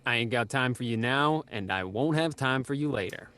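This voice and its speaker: An actor playing a surly, uncooperative gardener in a radio murder mystery, gruff and combative with colloquial speech. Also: male voice, medium pitch, animated, moderate pace, bright, forceful tone. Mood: dismissive.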